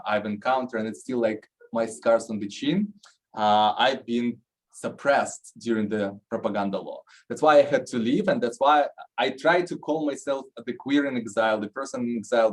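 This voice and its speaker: Russian-accented man telling his story haltingly. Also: mid-range voice, a little mellow, poor audio. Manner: halting, many fillers and restarts. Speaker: panel moderator. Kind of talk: personal story at an online panel.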